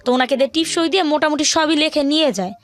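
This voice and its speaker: A woman's voice: high voice, quick pace. A young woman with a Bangladeshi accent telling a personal hardship story to an interviewer, in a rambling, colloquial way with repeated phrases. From rural Bangladesh. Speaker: guest telling her life story.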